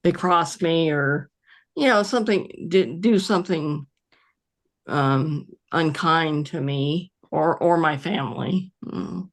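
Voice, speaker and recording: A woman sharing personal experiences on a panel, speaking haltingly with many hesitant restarts. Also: low-pitched.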